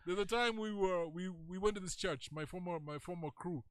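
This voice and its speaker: A man's voice: high-pitched, fairly quick, dry recording. Kenyan guy on the radio, chatty storyteller, laughs a lot, dry close mic. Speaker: radio host. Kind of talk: casual studio chat mixing Swahili slang. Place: radio studio.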